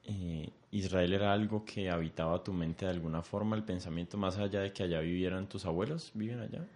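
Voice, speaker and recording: A man's voice: deep voice, fairly quick, a little mellow. A man hosting a conversational interview, asking long, winding, probing questions.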